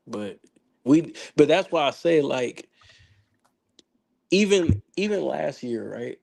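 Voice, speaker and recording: Hoarse, gravelly man in laid-back sports talk. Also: medium pitch, a little mellow. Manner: laid-back, slangy, rambling with restarts. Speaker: podcast host. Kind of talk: casual sports chat.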